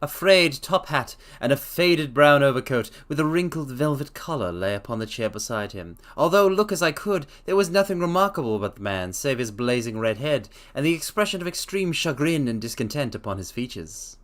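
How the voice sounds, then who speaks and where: A man streaming a dramatic reading of a classic story, chatting excitably to his viewers in between. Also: mid-range voice, fairly quick, hardly any pauses. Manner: excitable, rambling with fillers between passages.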